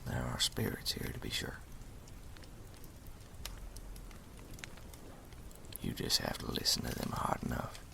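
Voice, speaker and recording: A man playing a wry animal storyteller in a campfire ASMR roleplay, speaking slowly and ominously in a gravelly half-whisper. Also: very deep voice, steady tone, background noise, full character voice. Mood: eerie, reflective. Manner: slow, deliberate, folksy, ominous.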